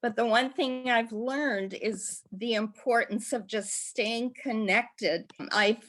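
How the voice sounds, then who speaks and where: A woman's voice: fairly high pitch, slow pace, a bit bright. An eighty-year-old woman talking reflectively about her own life in an interview. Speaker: interviewee, hospital volunteer. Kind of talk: reflective personal conversation.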